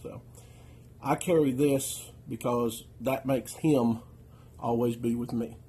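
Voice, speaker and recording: A middle-aged American man with a nasal, slightly husky voice, still getting over a chest infection. He talks through his gear in a plainspoken, folksy way, with a dark, mellow tone picked up by a camera mic in a living room. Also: mid-range voice, steady tone, takes it slowly, rough recording. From United States. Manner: plainspoken, folksy, keeps checking in with "okay?". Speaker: outdoors channel host. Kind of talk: casual gear walkthrough.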